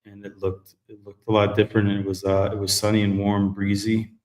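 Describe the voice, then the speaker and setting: A man hosting a live men's group talk show, chatting in a relaxed, rambling way. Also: fairly low pitch, a little fast, echoey room, low-quality recording. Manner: relaxed, rambling, self-correcting. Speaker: host. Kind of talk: casual live chat.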